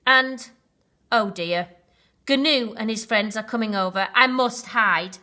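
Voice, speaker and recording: A woman's voice: fairly high pitch, slow, sharp tone. A woman voicing a gloomy, self-conscious rhino in a children's story, complaining with heavy emphasis. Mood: exasperated.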